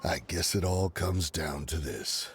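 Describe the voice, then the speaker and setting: A deep-voiced, cautious mercenary. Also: male voice, background noise. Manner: measured, cautious. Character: cautious, practical male desert mercenary.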